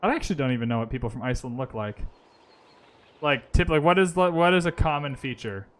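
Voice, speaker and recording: A man's voice: mid-range voice, expressive, quick pace. A man streaming a strategy game, thinking his moves aloud and chatting casually with his viewers. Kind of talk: casual gaming commentary. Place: lives in Vancouver.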